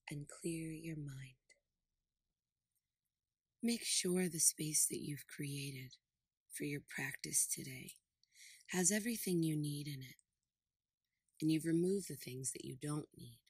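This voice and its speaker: A woman with a low, breathy voice leads a guided meditation. She speaks in short, deliberate, soothing phrases. The sound is close and dry, with no room around her. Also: a little mellow, no room sound. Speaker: podcast host and meditation guide.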